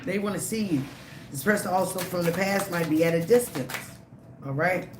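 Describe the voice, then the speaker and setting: A tarot reader giving a live reading, emphatic and repetitive, slightly raspy from a cold. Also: mid-range voice, moderate pace, echoey room, heavy background noise. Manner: emphatic, repeats phrases, frequent "okay?" and "all right?".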